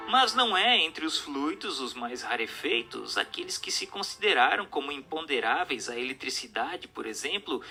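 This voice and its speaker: A man's voice: a little high, sharp tone. A Brazilian man reading a spiritual text aloud as an audiobook narrator, in a declamatory, didactic and emphatic manner. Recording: narration over music.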